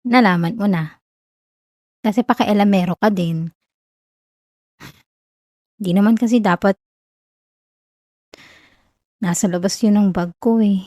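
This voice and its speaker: A young Filipino woman acting a whiny, shy tsundere college girl confessing love in a soft, hesitant ASMR roleplay. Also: mid-range voice, no room sound, studio quality, natural voice. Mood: reluctant, tender.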